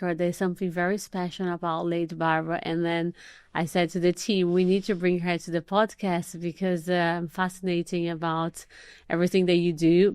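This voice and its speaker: A woman hosts a podcast and interviews her guest with effusive enthusiasm. Her voice is smooth and resonant, set a little low. It is recorded dry and close. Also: no echo. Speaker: podcast host. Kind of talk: interview conversation.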